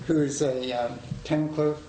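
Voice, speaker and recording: A man's voice: a little high, fairly even intonation, unhurried, mellow. A man chairing a town board meeting, speaking politely and hesitantly with many "um"s. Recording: echoey, distant, noisy local-access meeting recording.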